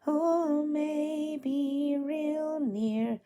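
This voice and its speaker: Woman as a soft, wistful, hoarse orphan girl. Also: high voice, fairly even intonation, extremely slow, faint music behind, pitched above the performer's own voice. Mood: hopeful, singing. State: hoarse, tired. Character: hopeful, tender orphan girl in a storybook.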